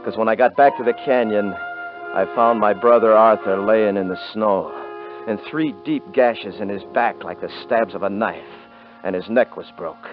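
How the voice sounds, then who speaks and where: A brash, boastful frontier hunter, voiced by a man, talking in rough country grammar. His voice is deep and low. It comes through muffled old radio sound with music underneath. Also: normal speed, close to an everyday voice. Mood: grim narration. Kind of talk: 1950s radio drama acting and narration. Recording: muffled vintage radio recording.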